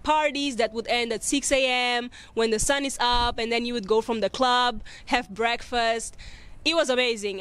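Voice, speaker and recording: Young woman, higher-pitched, casual vlogger. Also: fairly bright tone. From Maputo, Mozambique. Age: young adult. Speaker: vlog host. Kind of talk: casual vlog answering viewer questions. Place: open city rooftop, outdoors. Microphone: handheld earphone mic near mouth.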